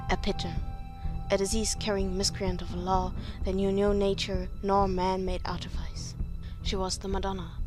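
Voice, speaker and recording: A woman with a non-native English accent reads overwrought prose aloud as an amateur, in a solemn, melodramatic way with occasional stumbles. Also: mid-range voice. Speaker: amateur reader.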